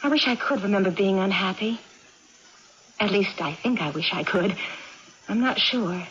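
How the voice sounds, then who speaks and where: An actress playing an excitable young woman with amnesia and swinging moods in a 1980s radio drama, with theatrical, childlike insistence. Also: medium pitch, moderate pace, lightly acted. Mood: breezy, eager. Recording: dull, noisy old radio broadcast.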